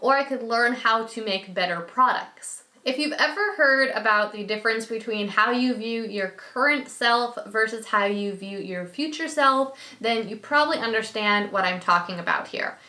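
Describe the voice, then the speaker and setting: A woman's voice: on the high side, normal speed, hardly any pauses, pushed and bright, roomy sound. A woman in her late twenties, slightly sick with a froggy voice, giving chatty, earnest business advice to camera. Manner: chatty and earnest, laughs lightly. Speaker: business YouTuber. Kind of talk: casual advice talk to camera.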